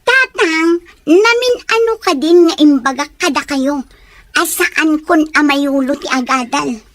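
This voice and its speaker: A woman's voice: sits high, a little slow, dry recording. A young woman playing a spoiled, whiny teenage daughter in a radio drama, talking in a pleading, petulant way.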